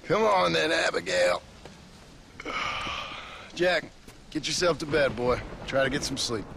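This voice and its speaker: An actor playing a gruff, wry middle-aged American rancher in a video game cutscene, speaking tersely in a folksy drawl. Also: male voice, a little high, expressive, slow, background noise, full character voice. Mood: weary, fatherly. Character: gruff, wry frontier rancher and family man.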